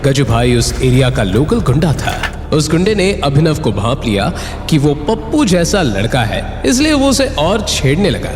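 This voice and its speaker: Animated man narrating in long reverb. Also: a little high, normal speed. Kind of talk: dramatic scripted audio story narration. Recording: reverberant echo with music bed. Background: music.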